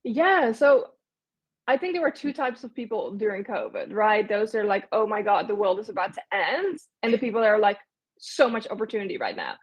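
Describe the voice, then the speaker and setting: A woman's voice: mid-range voice, sing-song, fairly quick, husky. A young woman from the Netherlands, chatting casually as an interview guest, with lots of fillers and restarts. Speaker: guest, agency owner.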